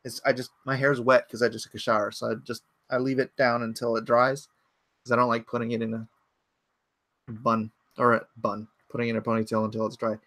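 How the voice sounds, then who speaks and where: Man in his forties, casual livestream host, rambling, fillers and restarts, average pitch, dry close sound. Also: level tone, brisk, fairly bright tone, no room sound. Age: 40s. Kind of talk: casual livestream chat reading comments. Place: home computer setup.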